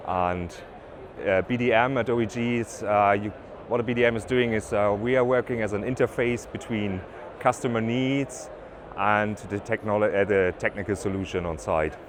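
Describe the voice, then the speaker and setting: A man with a German accent giving a trade-fair presentation as a business development manager, with halting phrasing, fillers and restarts. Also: lowish voice, noisy background. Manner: slightly halting non-native phrasing with ums and restarts. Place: exhibition stand at an offshore wind event.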